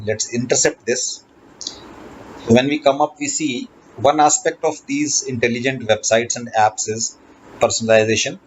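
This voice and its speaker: Guy teaching a lesson, normal pitch, kinda halting, muffled lo-fi audio. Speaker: lecturer. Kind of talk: explanatory lesson. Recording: dull lo-fi recording with background noise.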